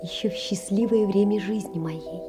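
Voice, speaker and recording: An actress playing a poor, devoted, anxious young woman in a theatrical audio drama, telling her story in an expressive, intimate way. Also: medium pitch, normal speed, loud music behind the voice, natural voice. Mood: tender. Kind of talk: theatrical audio drama, reading letters and memoirs.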